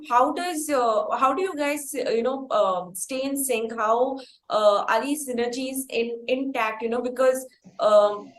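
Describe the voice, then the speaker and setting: A woman with an Indian accent hosting a conversational interview, feeling her way into questions in a roundabout way with repeats. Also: on the high side. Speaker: interviewer and podcast host. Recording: echoey room with faint noise.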